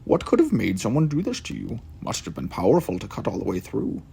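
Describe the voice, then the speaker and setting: A man's voice: fairly low pitch, wildly swooping pitch, a little fast, gravelly, mellow, husky, heavily acted. A man voicing a young female dog detective in a storybook, earnest and inquisitive. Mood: puzzled.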